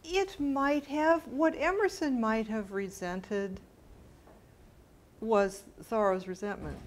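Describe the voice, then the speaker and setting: A woman's voice: on the high side, a little hiss or hum. A middle-aged woman answering questions after a scholarly talk, informal and hesitant, often correcting herself as she thinks aloud. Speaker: lecturer answering audience questions. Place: bright mid-sized library meeting room with audience.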